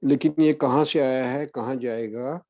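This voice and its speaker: A man guiding a meditation as a spiritual discourse, speaking in a measured way with long pauses. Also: mid-range voice, fairly even intonation, a little mellow, no echo. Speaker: meditation guide. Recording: dull, lo-fi, dry audio.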